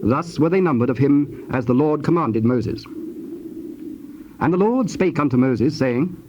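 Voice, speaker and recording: A man narrating dramatized scripture in a formal, measured recitation. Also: mid-range voice, expressive, darkish tone. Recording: dull, noisy old cassette tape.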